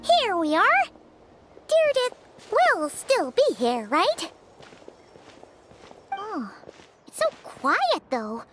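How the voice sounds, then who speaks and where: A woman voicing a small, excitable, childlike fairy companion in a video game, with a squeaky voice. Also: very slow, long pauses, background noise, full character voice. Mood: nervous. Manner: third-person self-reference, exclamatory.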